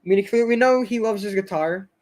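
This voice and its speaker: Guy live streaming, kinda high voice, rambly with lots of likes and ums, going a little hoarse. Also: fast talker, a little mellow. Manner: rambling, hesitant, heavy on fillers and restarts. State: slightly hoarse, losing his voice. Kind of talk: casual live-stream chat with viewers.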